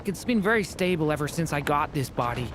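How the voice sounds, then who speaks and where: Gentle shy young dragon. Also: female voice, low-pitched, lively intonation, fairly quick, noisy background. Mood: reassuring. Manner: polite, gentle and a little shy. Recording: game audio with music underneath.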